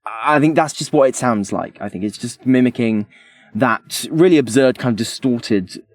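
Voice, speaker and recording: A man co-hosting a podcast, giving casual critical commentary in a hesitant, halting way. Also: mid-range voice, no room sound. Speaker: podcast co-host.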